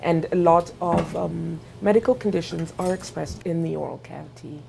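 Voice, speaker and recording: A woman's voice: lowish voice, steady tone, moderate pace, vocal fry, a crowd in the background, rough recording. A middle-aged woman from Kenya with a Kenyan accent, talking informally as a pediatric dentist. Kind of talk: informal interview talk.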